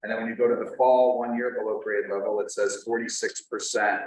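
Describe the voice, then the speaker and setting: Low-voiced man in a very echoey room. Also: level tone, a little fast. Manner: methodical, reads out percentages. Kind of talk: questioning at a public meeting. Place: large meeting room. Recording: very echoey, distant, faint room noise.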